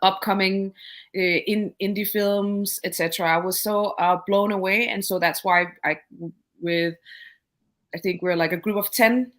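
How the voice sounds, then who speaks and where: A woman from Denmark speaks as a guest on a video call, mid-pitched and unhurried. She talks in a thoughtful, halting way, full of fillers and restarts. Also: steady tone, a bit bright. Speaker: guest entrepreneur. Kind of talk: casual interview conversation.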